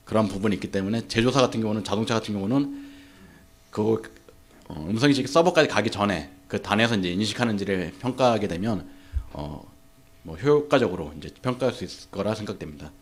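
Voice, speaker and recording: A man summarizing a lecture as a seminar interpreter, in quick, run-on explanations with frequent filler sounds. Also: lowish voice. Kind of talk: rapid Korean summary interpretation.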